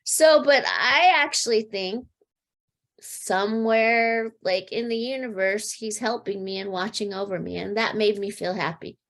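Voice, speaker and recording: A middle-aged American woman, a podcast guest, telling a personal story in an earnest, halting way with many fillers and restarts. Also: medium pitch, a bit bright. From United States. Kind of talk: casual storytelling.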